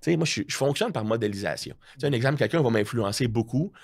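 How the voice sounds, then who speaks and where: A man's voice: mid-range voice, fast, slightly rough. A man with a Quebec French accent chatting casually as a podcast guest, telling stories in a slangy, self-mocking way. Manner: animated storytelling with slangy asides and self-mockery. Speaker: podcast guest, strength coach.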